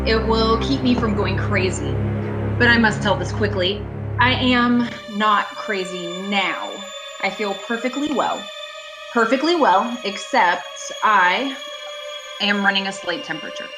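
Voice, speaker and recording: A woman acting a haunted traveller in her thirties in an amateur radio thriller, urgent and halting, repeating phrases for emphasis. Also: mid-range voice, natural voice, poor audio. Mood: tense, desperate. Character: haunted 36-year-old woman traveller, shaken but insisting she's sane. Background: music and rain.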